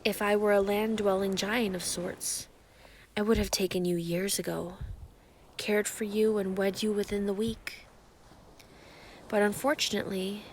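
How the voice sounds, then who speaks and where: A woman playing an ancient, giant female sea creature, calm and grandiose, flirting possessively in an intimate roleplay monologue. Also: mid-range voice, slight background noise, natural voice. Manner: calm, teasing, grandiose, formal wording.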